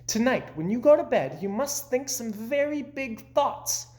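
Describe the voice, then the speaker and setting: A reader voicing a cheerful, optimistic male frog from a storybook in an animated, excitable manner. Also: medium pitch, measured pace, voice pushed up. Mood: encouraging.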